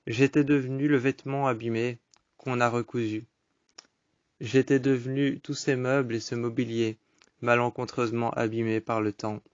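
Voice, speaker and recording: A man's voice: medium pitch, no echo, highs cut off. Male poetry storyteller, mid-range voice, solemn and incantatory delivery, very dry sound. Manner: repetitive incantatory phrasing, solemn and melancholic. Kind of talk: dramatic spoken-word poetry recitation.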